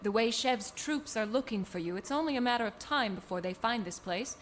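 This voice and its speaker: An actress playing a confident, pragmatic rebel commander in an audio drama, composed and persuasive. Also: female voice, a little high, lively intonation, brisk, a little hiss or hum, close to an everyday voice.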